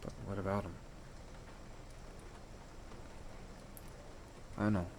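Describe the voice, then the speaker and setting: A man's voice: low voice, little pitch movement, very fast, creaky. Affectionate boyfriend, acted by a man, over background noise. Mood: gentle, concerned. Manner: teasing, stammering, chuckling, tender. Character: affectionate, playful, protective boyfriend comforting his partner. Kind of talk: intimate boyfriend roleplay audio drama.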